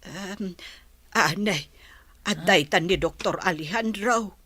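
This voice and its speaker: A woman's voice: mid-range voice, slow, pushed and bright, husky, no echo, full character voice. A woman playing a worried, apologetic elderly grandmother in a radio drama.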